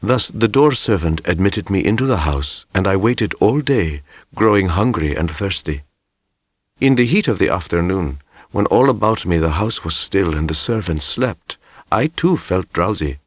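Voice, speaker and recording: A man voicing a solemn medieval envoy who recounts his travels in stately, formal audiobook narration, with a husky voice. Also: deep voice, animated, normal speed, no room sound, studio quality. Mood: grave, measured. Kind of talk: first-person scripted storybook narration.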